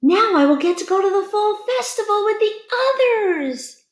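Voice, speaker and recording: A woman's voice: very high-pitched, lively intonation, normal speed, reverberant, voice pushed up. Woman as a small, earnest, wistful little pumpkin. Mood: hopeful.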